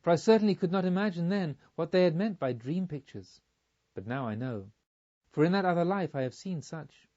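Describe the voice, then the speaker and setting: A man's voice: fairly high pitch, warm tone, muffled recording, lightly acted. A man voicing an earnest, pensive pilgrim newly reborn and full of wonder, in a storybook reading. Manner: musing, questioning, earnest. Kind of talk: audiobook character reading.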